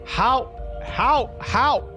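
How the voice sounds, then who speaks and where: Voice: medium pitch, extremely slow, bright, forceful tone. A game streamer reading a visual novel aloud and reacting to it in an exasperated, incredulous, theatrical way. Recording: background music under the voice. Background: music.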